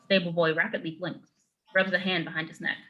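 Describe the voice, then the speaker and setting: Bright, low-voiced woman narrator. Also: little pitch movement, a little fast, muffled recording. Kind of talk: reading screenplay stage directions.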